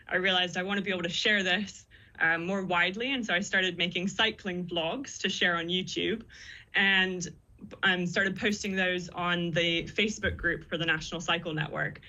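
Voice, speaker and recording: A woman's voice: lowish voice, pushed and bright, lo-fi sound. A young woman guest in a radio interview, chatty and upbeat, laughing easily as she talks. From born in the US, raised in the Netherlands. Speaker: guest, PhD student.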